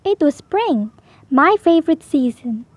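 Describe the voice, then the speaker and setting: A woman reading a bedtime story aloud to children in an expressive, animated way. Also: high-pitched, measured pace, no echo. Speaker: storybook reader.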